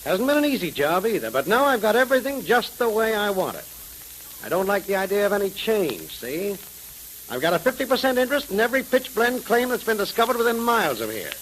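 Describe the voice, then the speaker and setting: Smug, high-pitched radio crime boss. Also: male voice, big pitch swings, fairly quick, a bit bright, rough recording. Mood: menacing. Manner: sneering, sarcastic, insinuating. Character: smug scheming male crime boss, threatening and mocking. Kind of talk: 1930s radio drama acting. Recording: hissy 1930s radio recording.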